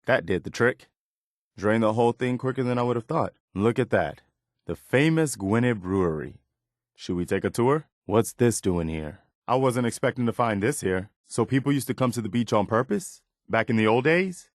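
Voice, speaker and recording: An actor playing an earnest, steadfast militia officer in a post-apocalyptic video game, speaking plainly and in a measured way with an American accent. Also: male voice, mid-range voice, animated, dry recording, clean studio sound, lightly acted. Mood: relieved, hopeful, then curious, wary. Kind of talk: video game companion voice lines.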